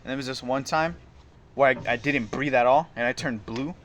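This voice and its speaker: A young man telling stories in casual chat, animated and slangy, laughing as he talks. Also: mid-range voice, brisk, a crowd in the background. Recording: echoey space, faint noise.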